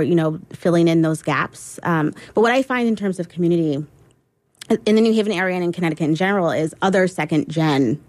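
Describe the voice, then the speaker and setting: A woman guest on a radio interview, a poet and teacher, answering reflectively with frequent pauses and restarts. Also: low voice, no echo. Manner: reflective, hesitant, many ums and restarts.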